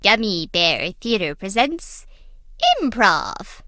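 An excitable young woman from a video game, staging silly gummy bear theater. Her bright, high voice swoops with mock drama, in a dry close recording. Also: slow pace, no room sound, exaggerated voice. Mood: playful. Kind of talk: playful theatrical play-acting.

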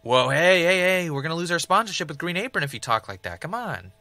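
A man's voice: on the high side, big pitch swings, quick pace, bright, forceful tone, no echo, faint music behind, full character voice. An actor playing a joking, evasive male podcaster in naturalistic, bantering audio drama dialogue.

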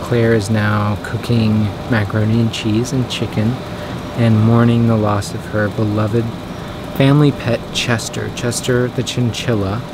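A man's voice: on the low side, level tone, a little slow. A man in his twenties talking casually as a travel vlogger. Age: 20s. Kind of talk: casual vlog storytelling. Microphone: camera at arm's length. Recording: clear background noise, on-location camera audio.

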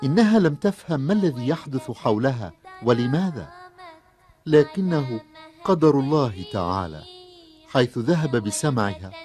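A man playing a grave, compassionate storyteller in a cartoon, speaking in a solemn, measured way. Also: medium pitch, very animated, darkish tone, faint music behind, natural voice. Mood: sorrowful. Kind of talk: dramatic scripted cartoon narration and dubbing.

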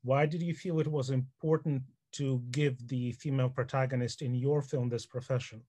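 A man in his fifties moderating an online film Q&A from a home study, asking measured questions with fillers. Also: medium pitch, steady tone, dark tone. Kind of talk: online Q&A interview. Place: home study with bookshelves, indoors.

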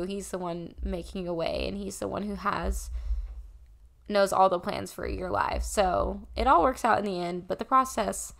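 A woman's voice: mid-range voice, vocal fry. A woman in her early twenties, a podcast guest, speaking earnestly and reflectively in casual conversation. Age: early 20s. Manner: earnest, reflective, with pauses and restarts. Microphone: desk condenser mic.

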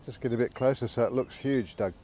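A man's voice: mid-range voice, quick pace, mellow. Joking man by a river. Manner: dry, joking banter. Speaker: angler filming the trip. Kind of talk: casual fishing chat. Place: outdoors on a city riverbank.

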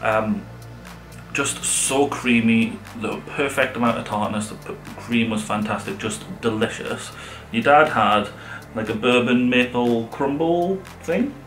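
A man's voice: mid-range voice, a little slow, a bit bright, echoey room, low-quality recording. A middle-aged man, chatting casually and enthusiastically to camera as a vlog co-host. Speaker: vlog co-host reviewing restaurants. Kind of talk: casual sit-down chat to camera. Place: living room sofa, indoors. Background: background music.

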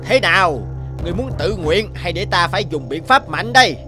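A haughty, threatening royal messenger. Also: male voice, sits high, sing-song, brisk. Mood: menacing. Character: stern, bullying royal messenger, officious man. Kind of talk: animated moral tale dubbing. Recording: music behind the dialogue.

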